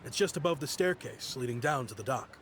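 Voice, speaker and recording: An actor playing a proud yet kindly merchant in a video game, speaking in a friendly, reflective, softly rueful way. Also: male voice, mid-range voice, a little fast, noisy background, natural voice. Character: nostalgic grown-up male merchant, proud but kindly. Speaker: businessman. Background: game music.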